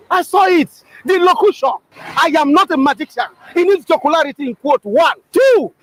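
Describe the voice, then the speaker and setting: Very high-voiced man in grandiloquent oratory. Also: fairly bright tone, dry recording, rough recording. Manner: grandiloquent, very long obscure words; declamatory, emphatic repetition. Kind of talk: bombastic political oratory.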